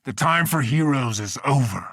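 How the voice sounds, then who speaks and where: An actor playing a bitter, righteous, menacing mutated mastermind villain in a video game, with grandiose, theatrical delivery. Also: male voice, mid-range voice, expressive, moderate pace, fairly bright tone, no room sound, clean studio sound. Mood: cold menace.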